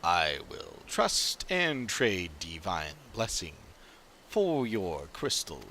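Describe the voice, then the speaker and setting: A man voicing a proud, solemn creature from a fantasy game, speaking in halting, simple phrases. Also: low voice, wildly swooping pitch, sharp tone, slight background noise, heavily acted. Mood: grave, thoughtful. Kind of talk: game dialogue voiced by a streamer.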